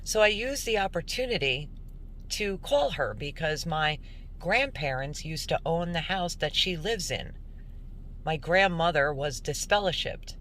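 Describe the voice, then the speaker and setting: A woman giving earnest, emphatic religious commentary as a host, in deliberate narration. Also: deep voice, a bit bright, slight background noise, rough recording. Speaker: host introducing a recorded call.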